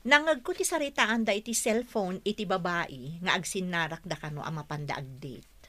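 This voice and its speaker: A woman's voice: on the low side, normal speed, a bit bright. A woman acting a hurt young wife and mother in a radio drama, jealous and defensive, with a Filipino accent. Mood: upset.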